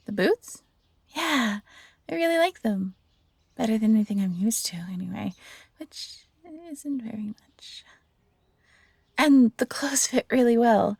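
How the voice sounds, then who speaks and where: A woman playing a gentle, eager fox-hybrid former servant in an intimate roleplay audio drama, soft-spoken and earnest with a breathy, slightly husky voice. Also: fairly high pitch, no room sound, exaggerated voice. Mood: gentle, hesitant.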